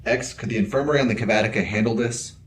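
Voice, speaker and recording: An actor playing a stoic security officer in a science fiction audio drama, speaking in a clipped, matter-of-fact way. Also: male voice, fairly low pitch, fast, lightly acted. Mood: concerned, brisk. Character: stoic, terse male security officer leading a mutiny. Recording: loud background noise over dialogue.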